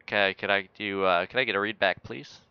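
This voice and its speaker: A man's voice: lowish voice, steady tone, brisk, clean tone, no room sound, dull audio. A man talking as an air traffic controller in a flight simulator game, joking in mock-official radio phrasing.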